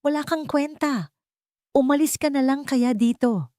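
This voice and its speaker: A woman's voice: sits high, unhurried, darkish tone, no echo, clean studio sound, pitched above the performer's own voice. A woman voicing an intense, earnest young rich man, the hero of an audio love story. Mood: angry, scornful.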